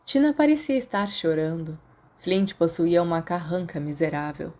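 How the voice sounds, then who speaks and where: Lower-pitched Brazilian woman narrating. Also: moderate pace, a little mellow. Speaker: audiobook narrator. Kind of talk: scripted story narration.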